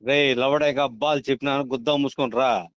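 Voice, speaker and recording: A man's voice: medium pitch, steady tone, brisk, clear, resonant tone, dry recording. A man barking curt, abusive orders in a heated phone confrontation. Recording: muffled lo-fi recorded phone call.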